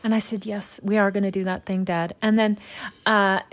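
A woman from Utah telling a long family story in casual conversation, wry and deadpan, with many fillers. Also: medium pitch, moderate pace.

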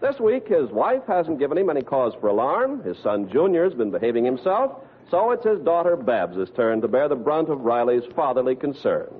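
A man's voice: medium pitch, big pitch swings, moderate pace, few pauses, slight background noise. A man reading scripted copy as a 1940s radio announcer. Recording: tinny old radio with music.

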